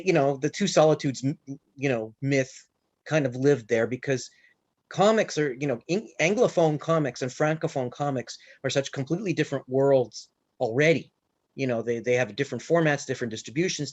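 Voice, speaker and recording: A man's voice: mid-range voice, a little mellow. A man from Quebec, a comics creator and panelist, speaking reflectively in an online roundtable. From Quebec, Canada. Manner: reflective, conversational. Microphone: video call. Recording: dull lo-fi video call audio.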